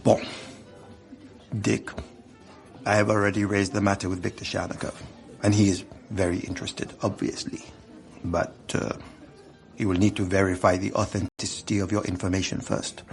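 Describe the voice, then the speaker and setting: An actor playing a worldly, wily Corsican gangster informant with a French accent and a suave, genial manner in a radio drama. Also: male voice, low voice, little pitch movement, normal speed, vocal fry, soft background music. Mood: firm, bargaining. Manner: chuckling, suave, bargaining.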